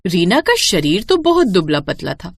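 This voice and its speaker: Animated woman storyteller, dry recording. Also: lowish voice, normal speed, creaky, husky, dry recording. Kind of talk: scripted animated story narration.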